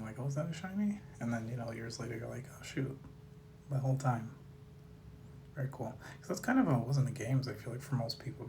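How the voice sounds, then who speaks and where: A man with a slightly raspy voice chats casually to viewers as a host, musing in a laid-back way and trailing off mid-thought. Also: mid-range voice, background noise. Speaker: host of a card collecting video series. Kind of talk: casual rambling commentary to viewers.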